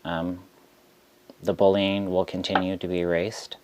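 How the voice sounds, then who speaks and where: A man who reads tarot, speaking straight to camera in a frustrated rant, deliberate and halting with frequent ums. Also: low voice, little pitch movement, background noise. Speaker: tarot reader.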